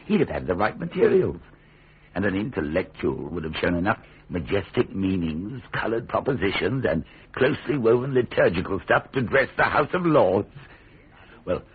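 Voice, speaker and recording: Droll low-voiced steward, tinny radio sound. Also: male voice, slight background noise. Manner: droll, theatrical direct address. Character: wry, familiar common-man narrator and household steward butler. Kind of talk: British radio drama acting. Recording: tinny band-limited radio recording.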